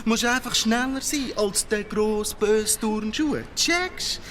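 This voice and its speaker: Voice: medium pitch, takes it slowly, a bit bright, slight background noise. An actor playing a brash, streetwise big-city cockroach in a children's radio play, with Swiss German speech and hip-hop slang mixed with English. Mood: cocky.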